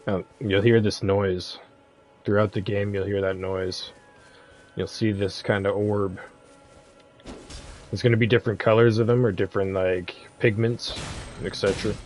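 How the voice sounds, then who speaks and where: A man's voice: lowish voice, little pitch movement, moderate pace, long pauses, a little mellow, soft background music. A man giving a casual, easygoing game walkthrough as a streamer. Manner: laid-back, easygoing. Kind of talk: casual game guide commentary.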